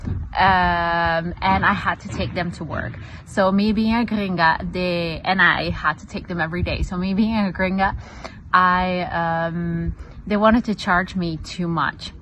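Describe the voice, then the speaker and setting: A woman with a lowish voice tells a personal story in a relaxed way, stopping often on "uh" and "um". Clear noise runs behind her. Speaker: real estate agent. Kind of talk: casual storytelling.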